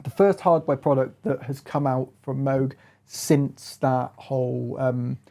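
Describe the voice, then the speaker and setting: A man's voice: mid-range voice, fairly even intonation, slow, gravelly, warm tone. A man presenting a hands-on music gear review in a casual chat, feeling his way through phrases with lots of hedging.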